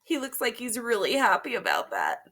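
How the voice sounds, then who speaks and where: A woman reading a children's storybook aloud as a teacher, expressive and emphatic, explaining things to young listeners. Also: on the high side, a little fast.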